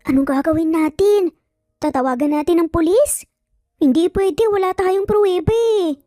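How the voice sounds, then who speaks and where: A very high, small child's voice, put on by a woman storyteller, earnest and full of questions. The kid sounds curious and anxious, as in an audio drama. The recording is dry, with faint music underneath. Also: normal speed, mellow, no room sound. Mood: worried, urgent. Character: six-year-old triplet children, curious and anxious. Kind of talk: Tagalog audio story dialogue.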